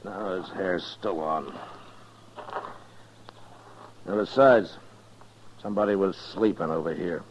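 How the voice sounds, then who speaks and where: A man playing a steady, authoritative frontier marshal in a 1950s radio western, plain-spoken, with an American accent. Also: low voice, takes it slowly, long pauses. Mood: matter-of-fact. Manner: plain-spoken, commanding, terse. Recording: dull, noisy 1950s radio recording.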